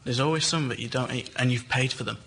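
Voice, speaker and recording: A man's voice: mid-range voice, quick pace, a bit bright, a little hiss or hum. A man, a member of the public, casually explaining and defending an idea on a comedy show. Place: live venue with a studio audience.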